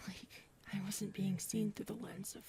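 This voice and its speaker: A woman playing a big, earnest predatory alien woman in an intimate audio roleplay, soft and hesitant, often murmuring. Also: medium pitch, steady tone, measured pace, creaky, a little hiss or hum. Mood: earnest, wounded. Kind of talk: audio roleplay acting, addressed to listener.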